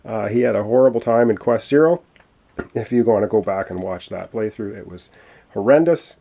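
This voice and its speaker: A man's voice: fairly low pitch, normal speed, vocal fry, mellow. A man explaining a board game playthrough in a chatty, explanatory way, with many fillers, while losing his voice. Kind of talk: casual tabletop game walkthrough.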